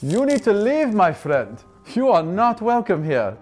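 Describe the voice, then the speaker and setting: A man's voice: high voice, very animated, unhurried, a little mellow, pitched above the performer's own voice, heavily acted. A man voicing a hostile, stern wild elf guard in a tabletop game. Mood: stern warning.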